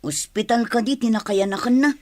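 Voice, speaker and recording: A woman's voice: fairly high pitch, brisk, darkish tone, dry recording, lightly acted. A woman with a Filipino accent acting a blunt, prying, money-minded woman in a radio drama.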